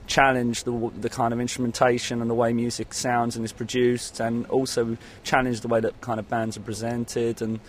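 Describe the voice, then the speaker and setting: A man's voice: mid-range voice, level tone, moderate pace, hardly any pauses, a little hiss or hum. A man giving a casual interview as a musician, rambling and hesitant, often saying "you know". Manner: rambling, hesitant, peppered with 'you know'.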